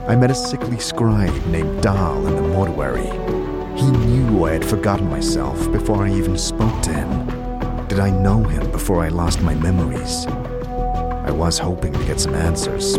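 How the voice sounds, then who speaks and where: A man playing a brooding immortal with no memory, speaking his journal gravely in an audio drama over music. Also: deep voice, a little slow. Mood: brooding, troubled. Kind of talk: audio drama first-person monologue. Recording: music under the voice.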